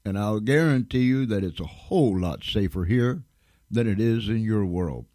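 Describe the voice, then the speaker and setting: A man's voice: lowish voice, expressive, moderate pace, dark tone, no echo, clean studio sound. A man hosting a radio show in a folksy, colloquial manner, repeating words for emphasis.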